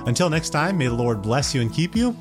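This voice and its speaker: A man's voice: fairly high pitch, very animated, fairly quick. A man who hosts a podcast and teaches at a seminary, speaking in a promo introduction style. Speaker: podcast host and seminary professor. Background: guitar music.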